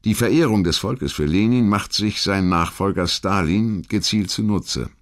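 A man reading scripted historical narration in a measured, articulate and formal manner. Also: lowish voice. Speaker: documentary narrator.

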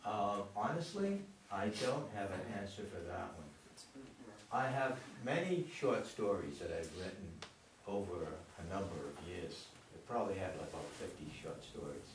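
Very deep older man, noisy echoey room. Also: expressive, moderate pace. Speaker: playwright. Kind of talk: informal audience question-and-answer. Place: meeting room, standing by a table. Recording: echoey room, distant camera mic, noisy.

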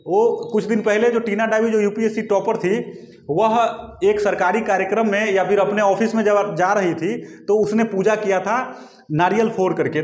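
An echoey room carries the high voice of a male teacher with a Bihari accent. He explains things in a colloquial lecture style, repeating his points and speaking directly to his students. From Bihar, India. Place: small indoor classroom with whiteboard. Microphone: lapel mic.

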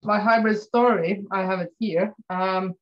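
A woman's voice: mid-range voice, fairly even intonation, unhurried, lo-fi sound. A woman in her fifties from Norway, a non-native English speaker, talking hesitantly with many fillers as an interview guest. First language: Norwegian. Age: 50s.

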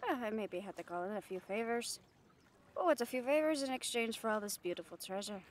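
A woman's voice: on the high side, expressive, a little fast, a little hiss or hum, lightly acted. A woman playing a brash, cheeky catgirl pirate in an audio roleplay, bantering with salty sarcasm. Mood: breezy. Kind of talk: roleplay audio drama acting.

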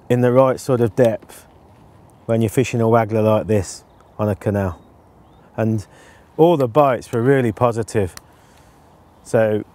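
A man in his forties explaining his canal fishing setup in a relaxed, casual way, with frequent ums, recorded outdoors on a lapel mic. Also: medium pitch. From England. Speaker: angling presenter. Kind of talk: casual instructional talk to camera.

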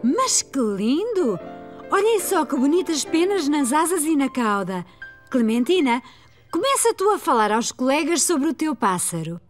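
A cheerful preschool teacher in a children's cartoon, high and animated. She speaks encouraging European Portuguese to little children, with faint music behind. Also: unhurried. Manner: praising, addressing small children.

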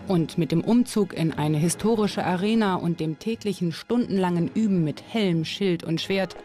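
A documentary narrator reading a scripted commentary in a measured, explanatory way. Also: medium pitch, dark tone. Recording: music bed under narration. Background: music.

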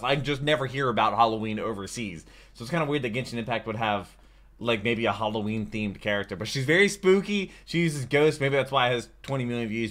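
A man's voice: mid-range voice, fairly bright tone. A young man with an American accent giving chatty, rambling reaction commentary as a video host. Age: young adult. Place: small room at home, indoors.

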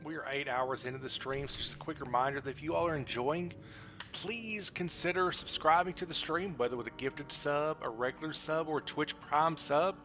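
A man's voice: on the high side, normal speed, pushed and bright. A middle-aged man giving casual livestream commentary on a game, close on a headset mic. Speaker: game streamer. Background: game music.

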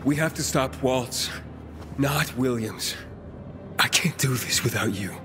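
A man's voice: mid-range voice, lively intonation, slow pace, over music. An actor playing an earnest, protective young male survivor in a dramatic video game scene. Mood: urgent.

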